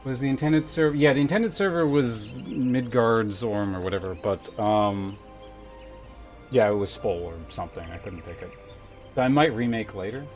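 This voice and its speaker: Casual male game streamer over clear music. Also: mid-range voice, normal speed, a little mellow. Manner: rambling, thinking aloud, casually profane. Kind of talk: casual livestream chat while playing.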